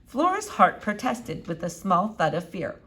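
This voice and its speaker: A woman narrating a children's novel as an expressive read-aloud. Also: lowish voice, unhurried. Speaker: storybook reader.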